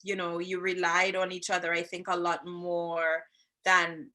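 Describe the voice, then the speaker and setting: A woman from Trinidad with a Trinidadian accent, co-hosting a casual chat with her husband. She tells stories with laughs and slows down to explain things. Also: fairly low pitch, completely flat delivery, sharp tone. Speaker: co-host, married couple's channel.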